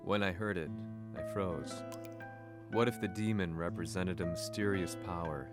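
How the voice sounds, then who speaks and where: A middle-aged man voicing a weary investigative journalist, narrating a game story in a measured, reflective first-person manner. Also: low-pitched, fairly even intonation, close to an everyday voice. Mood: brooding. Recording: over loud guitar score.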